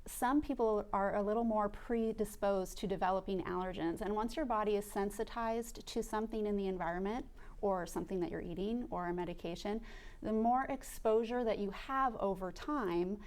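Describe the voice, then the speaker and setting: A woman's voice: mid-range voice, moderate pace, darkish tone. Methodical woman, allergy expert. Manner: clear, methodical, lists things step by step. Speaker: allergy department staff. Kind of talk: radio interview guest explaining. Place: radio studio.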